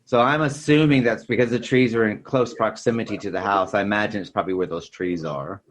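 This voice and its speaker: A man's voice: fairly low pitch, fairly even intonation, fairly quick. A man co-hosting a casual film discussion, rambling and often tacking on "you know" and "sort of thing". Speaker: podcast co-host.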